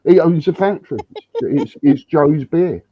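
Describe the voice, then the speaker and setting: A man's voice: fairly high pitch, unhurried, no echo, highs cut off. A man on a video call, chatting and teasing friends in a playful, exaggerated way, his nose blocked from hay fever. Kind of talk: casual joking chat on a video call.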